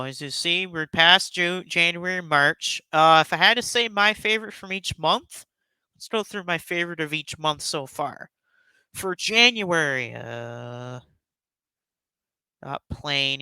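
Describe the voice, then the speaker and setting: Man, very bright forceful voice, clear tone, casual countdown host, effusive, rambling. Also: on the high side, unhurried, dry recording. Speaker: YouTube host giving a top ten countdown. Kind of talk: casual enthusiastic commentary.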